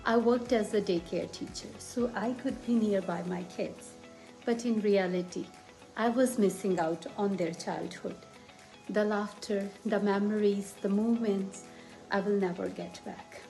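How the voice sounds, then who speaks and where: A woman from India giving a heartfelt, measured testimonial about family. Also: medium pitch, steady tone, mellow, faint music behind, rough recording. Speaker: former daycare teacher sharing a testimonial. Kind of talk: emotional personal testimonial.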